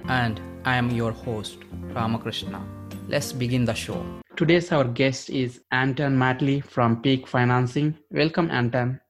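A man's voice: mid-range voice, fairly even intonation, unhurried, faint music behind. A man hosting a podcast who reads a prepared introduction and asks short questions. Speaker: podcast host. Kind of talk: podcast host reading intro and asking questions.

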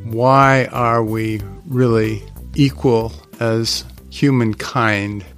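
Man, mid pitch, unhurried narration, faint guitar music behind. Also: steady tone, a bit bright. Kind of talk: scripted promotional narration.

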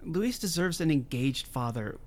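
An actor playing an earnest, tender-hearted advocate in an audio drama, talking in a chatty, expressive way. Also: male voice, on the high side, unhurried. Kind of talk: naturalistic audio drama acting.

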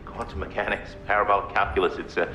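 Low, bright and edgy, a scientist in a film who dodges questions and speaks in a hushed, halting way. The line is heard as a film clip played back in a roomy space. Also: male voice, little pitch movement, soft background music. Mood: guarded.

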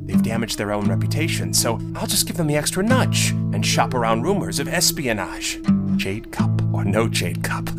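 A man's voice: very animated, normal speed, loud music behind the voice, natural voice. A man playing a cold scheming court schemer. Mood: plotting. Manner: icy, condescending, low menace. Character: scheming male court administrator Ahmad, cold and arrogant. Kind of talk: audio drama acting.

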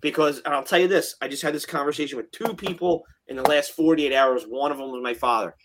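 A man's voice: a little high, fast, a bit bright, slight background noise. A man in his late thirties, a firefighter hosting a casual chat, talks bluntly and heatedly and swears often. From New Jersey. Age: 30s. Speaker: firefighter podcast host.

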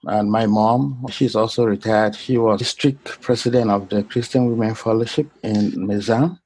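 A middle-aged man from Cameroon telling his life story in an interview, polite and unhurried. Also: lowish voice, steady tone, darkish tone, husky, low-quality recording. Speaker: guest, clinical lab scientist. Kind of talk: casual interview.

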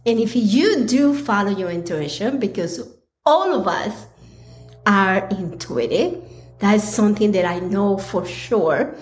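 A woman hosting her own podcast, talking earnestly with a slightly raspy, hoarse voice, pausing and restarting often. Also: mid-range voice, lively intonation, darkish tone. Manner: slow, earnest, with pauses and restarts. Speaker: podcast host. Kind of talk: casual solo podcast talk.

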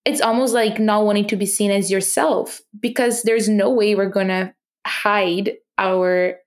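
A woman's voice: mid-range voice, fairly even intonation, unhurried. Woman, young adult, non-native English accent, earnest, passionate, filler words, podcast host. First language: not English. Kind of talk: personal talk about her project.

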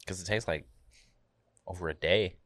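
A man's voice: sits low, moderate pace, fairly bright tone, no room sound. A young man co-hosting a podcast in casual chat, giving short easygoing replies and calling his friend bro. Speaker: podcast co-host.

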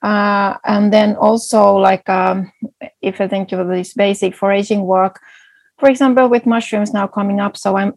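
A woman's voice: mid-range voice, vocal fry, darkish tone, dry recording. A woman whose first language is Swedish, answering an interviewer's questions enthusiastically in a casual chat, with frequent restarts. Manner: enthusiastic, many restarts and fillers. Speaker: foraging guide and entrepreneur.

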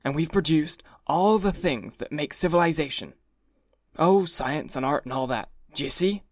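A man voicing a wealthy young husband, cross and brutish, with a gruff, insistent, pompous manner. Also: a little high, moderate pace, no room sound, clean studio sound, voice pushed up. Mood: blustering.

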